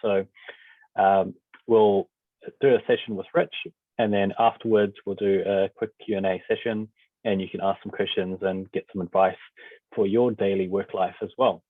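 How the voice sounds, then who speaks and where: Low-voiced man on a tinny line. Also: little pitch movement, normal speed, no room sound. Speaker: meeting host. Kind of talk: introducing a speaker. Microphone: video call. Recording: dry tinny phone-like line.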